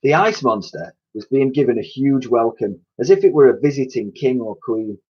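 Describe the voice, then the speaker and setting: A man's voice: medium pitch, lively intonation, moderate pace, a little mellow, slightly rough, highs cut off. A man reading a children's storybook aloud expressively, like a teacher addressing his pupils.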